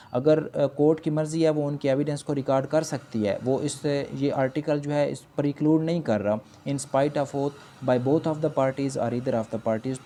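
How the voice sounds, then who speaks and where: Man, Pakistani accent, mid-pitched mellow voice, law lecturer explaining point by point, faint background noise. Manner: switches between Urdu explanation and English legal phrases read slowly.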